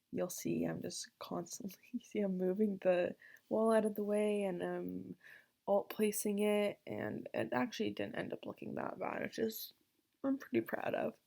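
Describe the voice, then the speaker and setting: A woman's voice: lowish voice, vocal fry, darkish tone, husky. A young woman from Canada in her twenties, rambling casually through a game voiceover recorded in her bedroom, full of fillers and self-deprecating asides. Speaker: gaming vlogger.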